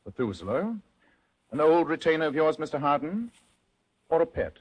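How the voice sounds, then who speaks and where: An actor playing a shrewd, composed gentleman detective in an old-time radio drama, speaking precisely and with formal courtesy. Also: fairly high pitch, sing-song, normal speed, rough recording. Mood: courteous, probing. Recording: vintage radio drama recording.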